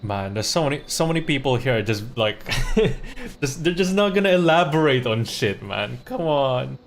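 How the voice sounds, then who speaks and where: A man's voice: mid-range voice, very animated, moderate pace, a little hiss or hum. A young man casually commenting while playing a game on stream, chatty and laughing often.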